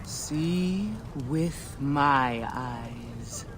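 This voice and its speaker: Slow, solemn ancient Egyptian ritual figure. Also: male voice, mid-range voice, heavy background noise. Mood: grave warning. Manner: ceremonial, deliberate delivery. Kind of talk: dramatic video game acting. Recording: music behind the voice.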